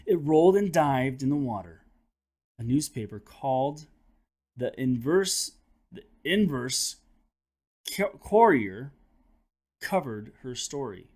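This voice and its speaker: A man hosting a children's read-aloud, telling the story in a dramatic, expressive way with chatty asides. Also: medium pitch, slow, pauses often. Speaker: host and teacher reading aloud to children.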